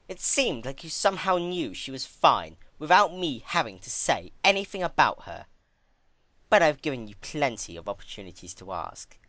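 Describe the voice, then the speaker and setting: A performer voicing a cruel, cold female pony tormentor with menacing, drawn-out emphasis in a fan fiction reading. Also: medium pitch, bright, forceful tone, dry recording, voice pushed up.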